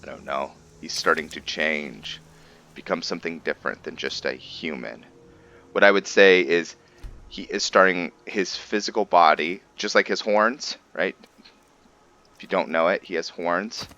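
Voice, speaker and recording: A man's voice: low-pitched, a little slow, bright, forceful tone, highs cut off. A man hosting a video game roleplay, giving casual, informal commentary and explaining things as he plays.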